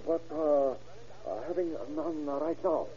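An actor playing an evasive, ingratiating drug dealer with halting broken English and a husky voice in an old radio drama. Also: male voice, a little high, dark tone. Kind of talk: 1940s radio acting. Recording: muffled old radio recording.

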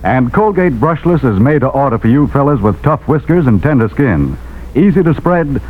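A male radio announcer sells in polished 1940s style. His voice is a little high and very animated, with emphatic phrasing. The recording is thin and phone-like. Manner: emphatic, polished salesman delivery. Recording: tinny, narrow old radio recording.

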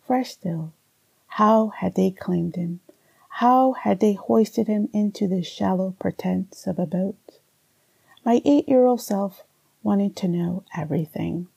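A woman from Barbados, a poet, introducing and reading her work aloud in a measured, deliberate way. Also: mid-range voice, gravelly, dark tone, husky, dry recording, low-quality recording. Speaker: poet and writing teacher. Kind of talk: poetry reading with spoken introduction.

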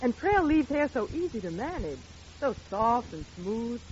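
A woman's voice: on the high side, very animated, unhurried, a little mellow. A woman reading 1940s radio advertising with a polished, glamorous sales delivery. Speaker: commercial announcer. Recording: dull, hissy 1940s radio recording.

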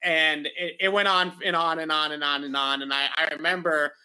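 A man's voice: a little high, fairly even intonation, moderate pace, pushed and bright, clean tone, poor audio. A young man hosting a podcast, rambling in casual chat with a nasal voice and many fillers. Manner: rambling, filler-heavy, repeats words. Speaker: podcast host.

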